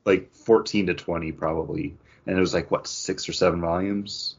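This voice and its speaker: A man co-hosting a comic book podcast, giving measured opinions with asides and steering the casual discussion. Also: low voice, steady tone, gravelly, slightly rough, muffled recording.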